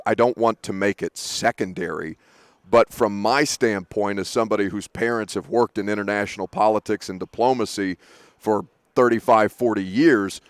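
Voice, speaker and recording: A man's voice: lowish voice, no room sound. A male sports radio host interviewing guests at a live broadcast, talking eagerly with many restarts and self-corrections. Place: studio booth at a sports event.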